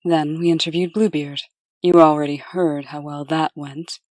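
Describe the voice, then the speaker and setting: A composed deputy played by a woman in a comic dub, dutiful and dryly candid. Her voice is low and formal, measured in delivery and recorded dry. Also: fairly even intonation, darkish tone, no echo, close to an everyday voice.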